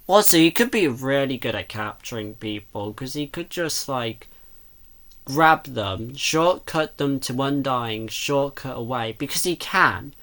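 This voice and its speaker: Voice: mid-range voice, a bit bright. A person giving casual, rambling reaction commentary, thinking aloud and speculating as the host.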